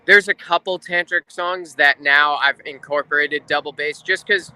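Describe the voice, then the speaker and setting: A man's voice: a little high, fairly even intonation, normal speed, pushed and bright, smooth, no room sound, low-quality recording. A man talking casually as an interview guest, a drummer explaining his craft. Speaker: guest, rock drummer and drum teacher.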